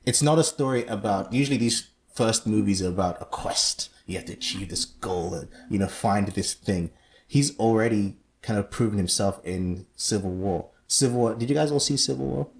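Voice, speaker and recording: Low-voiced male podcast host. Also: moderate pace. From Zambia. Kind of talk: casual podcast discussion.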